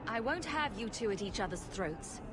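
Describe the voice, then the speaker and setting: Actress as a wry sarcastic heroine; even pitch, game ambience behind. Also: female voice, normal speed, noisy background. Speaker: player character leader.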